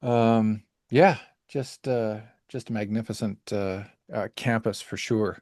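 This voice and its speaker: A man's voice: fairly low pitch, fairly even intonation, takes it slowly, darkish tone, no room sound, studio quality. A man from Canada hosting a podcast interview, asking questions in a friendly, hesitant way with many fillers. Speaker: podcast host.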